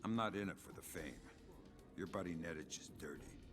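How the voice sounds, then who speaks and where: An actor playing a terse, gruff middle-aged covert agent who talks in clipped, dry lines in a game cutscene. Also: male voice, brisk, fairly bright tone. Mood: cool. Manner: clipped, dry, low-key. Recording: game cutscene with music underneath.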